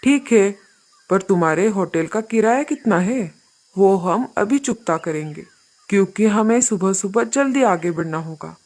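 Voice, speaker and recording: A woman's voice: medium pitch, lively intonation, measured pace, darkish tone. A woman voicing a demanding, businesslike male bird who leads a travelling flock in a children's cartoon story. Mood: questioning.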